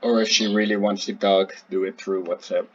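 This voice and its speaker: A man speaking briefly and practically, a little halting, in a group video call meeting. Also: sits low, level tone, husky. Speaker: project group member. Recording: distant, muffled video call audio.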